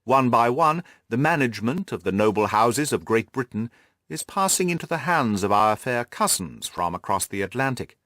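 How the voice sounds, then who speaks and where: A man voicing an earnest, orderly gentleman companion in an audiobook, measured and deliberate in manner. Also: medium pitch, expressive, no echo, studio quality. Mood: measured, informative. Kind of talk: audiobook character voice.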